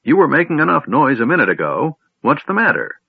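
A man's voice: fairly high pitch, huge pitch swings, a little fast, creaky, breathy edge, dry recording, pitched above the performer's own voice, exaggerated voice. A man voicing a cranky, absent-minded old male wizard in a storybook reading, snapping and fussing. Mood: grumpy. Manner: snappish, loud, fussy. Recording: dry tinny phone-like audio.

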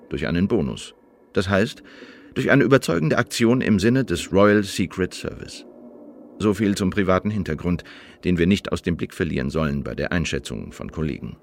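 An actor in a radio play playing a dutiful, bureaucratic secret service agent, reading out an assessment with measured, pompous formality. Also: male voice, lowish voice, no echo.

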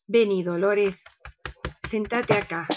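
A woman's voice: medium pitch, takes it slowly, noisy background, lightly acted. A woman playing a blunt, bossy, disillusioned older woman with an Argentine accent, frank and dramatic in a radio theatre comedy. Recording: slightly dull, lo-fi sound.

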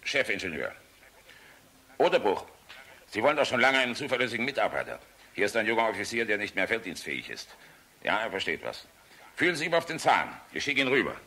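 An actor playing a weary, tormented general in a radio play, moving between clipped military orders and anguished, bitter rhetoric. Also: male voice, on the low side, very animated, fairly quick, long pauses, sharp tone, a little hiss or hum, full character voice. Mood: brisk. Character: male air force general, weary, tormented, bitterly defiant. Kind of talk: dramatic radio play acting.

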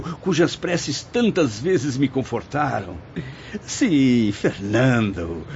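A man's voice: medium pitch, a little mellow, slight background noise. An actor playing a weary, patient, courteous male spirit, a learned writer, in formal radio serial style. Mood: elated, hopeful. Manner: formal, theatrical, hesitant and considerate. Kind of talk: dramatic radio serial acting. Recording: muffled audio with music underneath.

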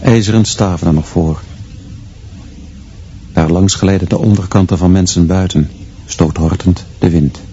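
A man's voice: deep voice, steady tone, warm tone, dull audio, soft background music. A man playing a brooding teacher who narrates his private thoughts in terse, literary radio drama monologue. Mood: brooding, measured. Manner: measured, terse, observant. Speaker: actor narrating in a radio play. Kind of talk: literary first-person inner monologue.

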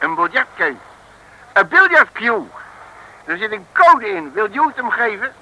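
High, brash radio detective. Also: male voice, sing-song, a little slow, pushed and bright, full character voice. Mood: blustering. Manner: colloquial, slangy, blustering. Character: brash, cocky, bumbling male Dutch detective. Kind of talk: 1960s Dutch comic radio serial acting. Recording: noisy, vintage radio recording.

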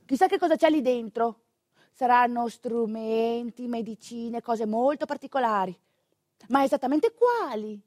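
A woman's voice: a little high, animated, unhurried, darkish tone, studio quality. Woman storyteller in an echoing room. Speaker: stage actress, storyteller. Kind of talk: theatrical monologue narration. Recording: roomy, echoing theatrical recording.

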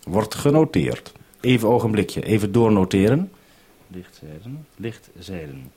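A Dutch radio producer speaking on a radio link, calm and orderly, repeating notes back before signing off. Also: male voice, on the low side, measured pace, breathy edge. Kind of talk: radio call, businesslike. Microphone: radio transceiver.

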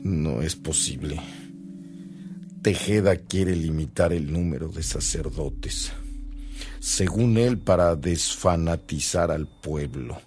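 An actor playing a grave, defiant middle-aged bishop in a radio drama, with a husky, creaky voice and a solemn, emphatic manner. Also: male voice, very deep voice, takes it slowly, darkish tone. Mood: grave, dismayed.

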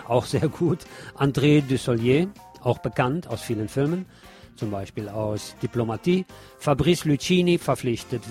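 A man's voice: medium pitch, a little mellow. A man hosting a radio show, reading a film review from a script with chatty asides to his listeners.

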